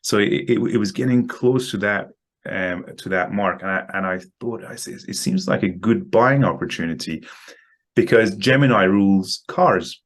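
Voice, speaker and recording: A man talking as a guest market analyst in a casual interview, halting and often repeating words as he explains. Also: on the low side.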